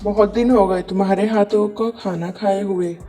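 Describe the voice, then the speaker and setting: A woman's voice: medium pitch, steady tone, moderate pace, dark tone, faint music behind, close to an everyday voice. A woman voicing a loving, dutiful young man in a cartoon moral story, speaking earnestly. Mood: reassuring. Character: young adult son, loving, dutiful, dismayed by poverty.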